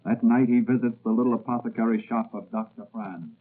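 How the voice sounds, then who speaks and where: A man's voice: medium pitch, steady tone, a little fast. A man giving dramatic scripted narration in the style of 1940s radio. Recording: narrow-band vintage radio recording. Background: music.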